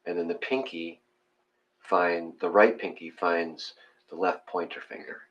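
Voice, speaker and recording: Very deep-voiced man guiding a meditation. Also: steady tone, a little slow, fairly bright tone, highs cut off. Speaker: meditation and mudra instructor. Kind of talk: casual guided meditation instruction.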